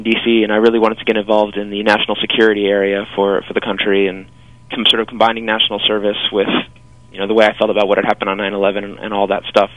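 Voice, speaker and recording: A man's voice: fairly low pitch, fairly bright tone, dry recording, poor audio. A young man in his twenties, a guest on a radio interview, talking earnestly about his time as a volunteer.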